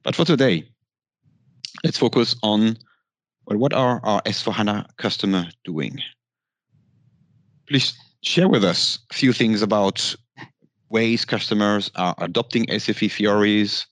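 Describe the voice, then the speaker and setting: A man hosting a corporate tech podcast, asking questions in a formal, slightly halting way. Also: fairly low pitch, a bit bright, dry recording. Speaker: podcast host.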